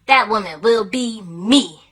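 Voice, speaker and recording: A vain, commanding elder stepsister from a fairy tale, as a woman narrator plays her. She drawls imperiously, slow and forceful, every word sharp. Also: mid-range voice. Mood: smug.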